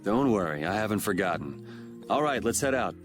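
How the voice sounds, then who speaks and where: A man's voice: fairly low pitch, animated, moderate pace, music in the background, lightly acted. A man playing a cool, laconic young swordsman in a video game, casual and understated. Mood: nonchalant. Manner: casual, understated, laid-back. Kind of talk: video game cutscene voice acting.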